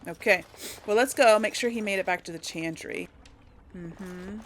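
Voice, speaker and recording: A woman's voice: mid-range voice, normal speed, slight background noise. A woman hosting a casual video game let's play, thinking aloud as she explores, with faint game sound behind her.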